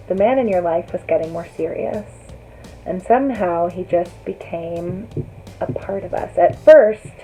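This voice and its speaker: A woman's voice: mid-range voice, dark tone, low-quality recording. A woman reading a scripted rhyming poem aloud. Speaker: poetry reader.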